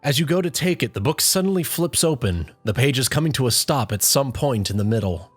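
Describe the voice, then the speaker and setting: A woman's voice: rumbling low, lively intonation, brisk, husky. A woman reading a story aloud as scripted second-person narration. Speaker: fan fiction reader. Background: faint music.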